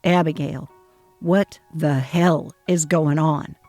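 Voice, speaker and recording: A woman's voice: low-pitched, fairly even intonation, slow, darkish tone, no echo, soft background music, voice pushed down. A woman voicing a worried, conflict-avoidant young husband in a dramatic reading of a text-message story. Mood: alarmed.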